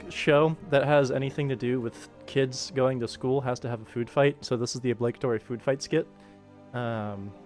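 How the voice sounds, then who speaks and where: A man's voice: mid-range voice, darkish tone. A man giving casual livestream commentary, informally thinking aloud as he works on an animation production. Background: music.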